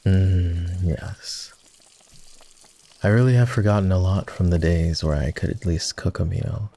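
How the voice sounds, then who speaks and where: A man voicing a polite, self-deprecating old vampire in an intimate roleplay ASMR style, with formal, hesitant phrasing and a soft, husky delivery. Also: sits low, steady tone, measured pace. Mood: wistful. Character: polite, self-deprecating, gently teasing ancient male vampire.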